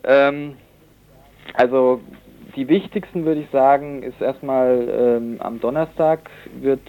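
A young man speaking as a student activist guest in an informal radio interview, halting and searching for words. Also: mid-range voice, warm tone, low-quality recording. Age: young adult.

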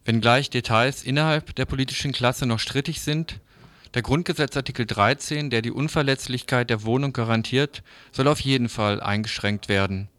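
A man reading scripted radio news previews as a presenter, in a formal, measured manner. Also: medium pitch, a bit bright, dry recording.

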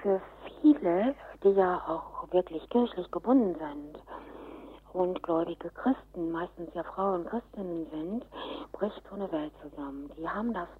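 A woman's voice: on the low side, lively intonation, measured pace, breathy edge. A woman speaking as an interviewed spokesperson, explaining spontaneously and emphatically, often repeating her points. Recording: narrow, phone-like interview recording.

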